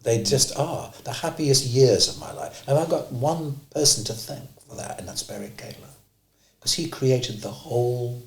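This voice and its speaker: A man's voice: mid-range voice, moderate pace. A man, a pantomime actor, speaking in an emphatic, heartfelt way. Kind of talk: heartfelt interview answer. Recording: echoey room.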